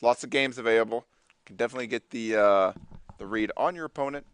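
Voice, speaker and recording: A man from Texas with a Texan accent, co-commentating a fighting-game tournament in relaxed, chatty banter. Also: mid-range voice, fast, clear, resonant tone, dry recording. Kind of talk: live esports commentary.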